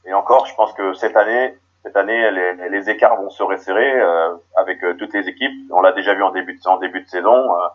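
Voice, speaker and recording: A man from Paris, a guest on a football podcast, answering in a halting way with many restarts, heard over a remote call. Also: low-pitched, muffled recording. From Paris, France.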